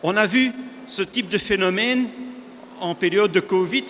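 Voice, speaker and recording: A man from northern Belgium with a Flemish accent, a native Dutch speaker, giving a measured conference talk with frequent restarts. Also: high voice, a bit bright, a little hiss or hum. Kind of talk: conference presentation. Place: large conference hall. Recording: reverberant hall, faint ambience.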